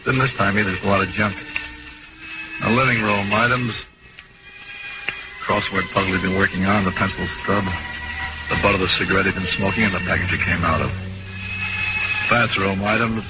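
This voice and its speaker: Actor as a low-voiced, deadpan American detective. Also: male voice, fairly quick, long pauses, lightly acted. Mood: weary deadpan. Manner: deadpan, matter-of-fact. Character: weary, dry middle-aged police detective, narrating his case. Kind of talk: 1940s-50s American radio crime drama acting. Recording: dull vintage radio recording with music.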